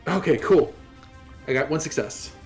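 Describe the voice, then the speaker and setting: A man's voice: fairly high pitch, animated, normal speed, soft background music. A man chatting casually as a player in a tabletop roleplaying game.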